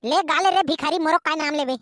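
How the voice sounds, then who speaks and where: A performer voicing a gruff male village schoolteacher who hosts the show and scolds the pupils, with a Chhattisgarhi accent and a high cartoon voice. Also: fast talker, noisy background, natural voice. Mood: scolding. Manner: announcing and scolding, calls pupils names. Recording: cartoon voice over faint music.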